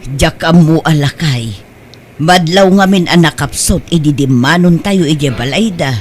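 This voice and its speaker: A woman's voice: low voice, expressive, a little slow, slight background noise. A woman playing a nagging, practical older mother of a groom in a radio-style comedy drama. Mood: concerned.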